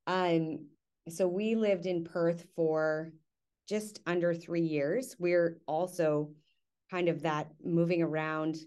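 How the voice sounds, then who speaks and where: A woman's voice: lowish voice, steady tone, slow, a little mellow, clean tone. A woman in her thirties, from Canada, hosting a podcast in an upbeat, enthusiastic way. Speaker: podcast host. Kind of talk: podcast hosting and interview chat. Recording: light music behind.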